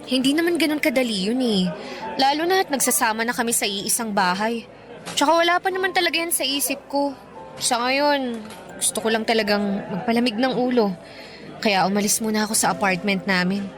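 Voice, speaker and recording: High-pitched, plaintive young girlfriend played by an actress. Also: fairly quick, natural voice. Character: clingy, conflicted young woman venting about her boyfriend. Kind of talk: radio drama acting. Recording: music bed behind.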